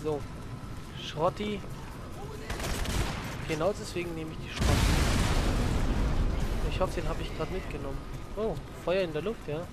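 A young man from Germany giving rambling, crude-slang commentary on his let's play, with loud outbursts. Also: a little high, a little slow, pauses often. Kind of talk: casual gaming commentary. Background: game music and sounds.

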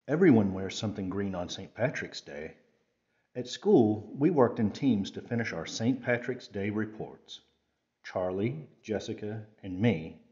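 Male storytime reader, lowish voice, careful phrasing, slightly dull recording. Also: darkish tone. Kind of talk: children's picture book read-aloud.

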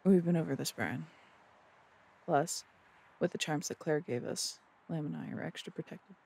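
A voice actor playing a sharp-tongued, no-nonsense detective in an audio roleplay drama, with a dry, businesslike manner. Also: female voice, low-pitched, moderate pace, vocal fry, a little hiss or hum. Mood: serious, businesslike.

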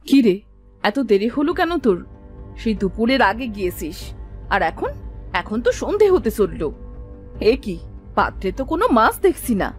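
A harsh married village aunt from a cartoon moral tale, voiced by a woman. Her voice sits fairly high and swings widely as she bosses and accuses, with music underneath. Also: very animated, moderate pace, pauses often. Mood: angry accusing. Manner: sharp, accusing, bossy. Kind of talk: animated moral story acting.

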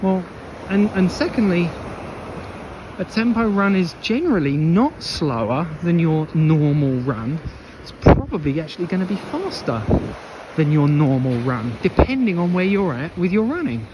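A man's voice: very animated, moderate pace. A man giving an off-the-cuff, opinionated coaching monologue outdoors, emphatic, repeating phrases and posing rhetorical questions. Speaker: running coach and vlogger. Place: outdoors on a beach walk. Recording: windy, noisy outdoor mic, rumbling background. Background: wind on the microphone, ambient noise.

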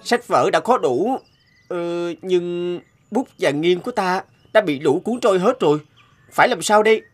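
An actor playing a poor, earnest young male scholar in an animated fairy tale, kind-hearted and plaintive. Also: medium pitch, moderate pace, soft background music, close to an everyday voice. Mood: worried.

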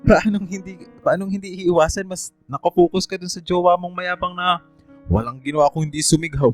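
A man's voice: high-pitched, a little fast, faint music behind, lightly acted. A man playing a blunt, teasing young online friend who sulks playfully in a radio drama. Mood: sulky, scolding.

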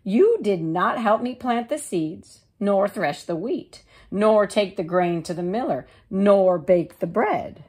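A woman voicing a busy, firm mother hen from a storybook, with expressive, drawn-out delivery. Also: medium pitch, slightly rough. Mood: indignant.

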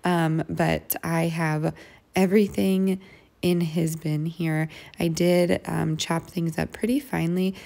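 Very gravelly, low-pitched American woman. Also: little pitch movement, slow, warm tone. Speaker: home cooking vlogger. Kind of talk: casual voice-over narrating meal prep.

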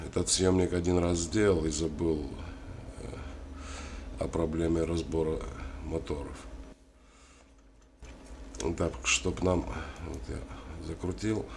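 A man with a cold giving a casual hands-on tutorial, halting and thinking aloud as he works. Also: low voice. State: has a cold. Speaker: DIY tutorial host. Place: indoors at a wooden worktable. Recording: clearly noisy home recording.

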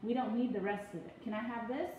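A woman in her thirties speaks in a fairly high voice. She gives casual, step-by-step instructions, patient but firm. The room around her is very echoey, with faint noise behind her, as if recorded on a phone in a home kitchen. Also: fairly even intonation, quick pace, warm tone. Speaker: parent giving a cooking demonstration. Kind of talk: casual instructional talk to camera and child. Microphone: phone propped on counter, about arm's length.

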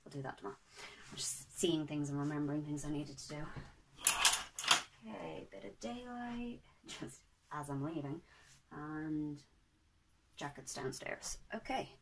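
Hoarse low-voiced young woman vlogging. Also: unhurried, pauses often, background noise. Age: 20s. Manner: chatty, rambling, self-deprecating, many ums. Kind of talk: casual vlog chat. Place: small bedroom indoors. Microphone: laptop or phone camera at desk.

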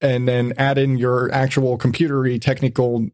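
A man's voice: medium pitch, flat delivery, normal speed, a little mellow, dry recording. A man speaks as a podcast host in a very dry recording with no room sound. His talk is casual and rambling, feeling its way through each thought with frequent rewording. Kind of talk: casual conversational podcast chat.

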